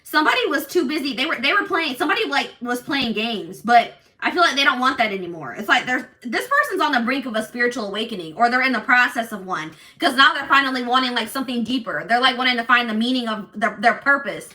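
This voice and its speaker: A woman doing a casual tarot reading for her viewers, talking in an assertive, loud way with lots of restarts and "like". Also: on the high side, fast talker, hardly any pauses. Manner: animated, many restarts and fillers. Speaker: tarot reader.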